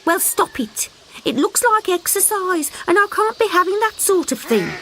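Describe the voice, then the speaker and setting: A woman's voice: very high voice, sing-song, moderate pace, a bit bright, slight background noise, voice pushed up, full character voice. A woman voicing a plump, lazy mother frog who scolds her child in a weary, bossy way.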